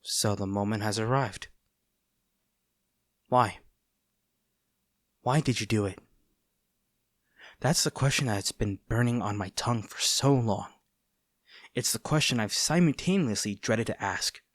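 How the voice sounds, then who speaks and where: A man playing a conflicted heroic captor in a dramatic audio roleplay, earnest and halting, tender toward an old friend turned enemy. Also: on the low side, no room sound, studio quality, close to an everyday voice. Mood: stern, pained, then somber. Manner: earnest, halting, formal wording with dramatic pauses.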